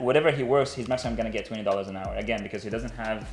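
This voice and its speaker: Fast-talking man, earnest and emphatic. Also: on the low side. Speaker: podcast panelist, entrepreneur. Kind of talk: casual conversation.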